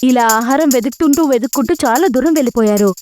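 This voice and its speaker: High-voiced woman narrating a story. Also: fast, darkish tone, faint music behind.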